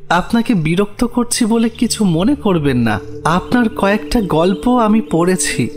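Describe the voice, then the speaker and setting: A man's voice: high voice, lively intonation, measured pace, soft background music, pitched above the performer's own voice. A man voicing a gaunt, sickly, doomed man who confesses his guilt solemnly and politely in a horror story. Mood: polite, grave. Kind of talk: horror audio story character acting.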